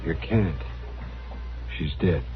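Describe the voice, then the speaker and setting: An actor playing a somber, plainspoken frontier doctor, grave and protective, in a radio western. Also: male voice, rumbling low, takes it slowly. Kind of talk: 1950s radio drama acting. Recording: dull vintage radio recording with background music.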